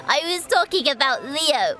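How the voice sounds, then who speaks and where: A woman's voice: high-pitched, bright, forceful tone, exaggerated voice. A woman playing a plucky, competitive young girl in an audio drama, with an eager, feisty, childlike manner. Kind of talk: scripted audio drama acting. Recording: music behind the voice.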